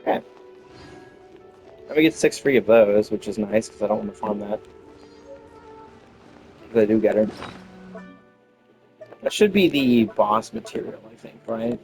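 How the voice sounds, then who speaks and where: A man's voice: fairly low pitch, animated, unhurried, creaky, long pauses, a little mellow, soft background music, low-quality recording. A man giving casual gameplay commentary, rambling and thinking aloud as he goes. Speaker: gamer commenting on own playthrough.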